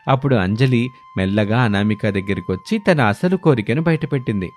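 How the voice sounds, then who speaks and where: A man's voice: lowish voice, expressive, brisk, faint music behind. A man narrating a scripted moral story as the storyteller.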